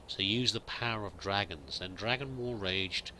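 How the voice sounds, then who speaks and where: Bright-voiced man playing through a game. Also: on the low side, normal speed, a little hiss or hum, low-quality recording. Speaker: host of a roleplay let's play. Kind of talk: in-character game commentary, musing aloud.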